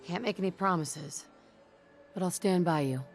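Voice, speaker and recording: A woman voicing a steady, supportive hero in a video game, giving brief replies. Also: on the low side, normal speed, soft background music, natural voice. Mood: calm, supportive. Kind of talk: video game character dialogue.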